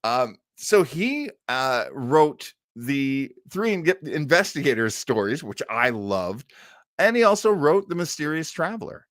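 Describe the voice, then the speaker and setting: A man's voice: fairly high pitch, very animated, measured pace, fairly bright tone, dry recording. A man in his fifties chats casually as a livestream host, enthusiastic and a bit rambling. His mid-range voice swings animatedly up and down, recorded close and dry. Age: 50s.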